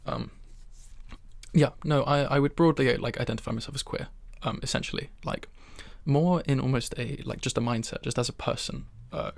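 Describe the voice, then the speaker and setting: 21 yo guy doing soft asmr chat, super gravelly fry, dry close mic, rambly and self-deprecating. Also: mid-range voice, normal speed, no room sound. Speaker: ASMR creator. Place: bedroom.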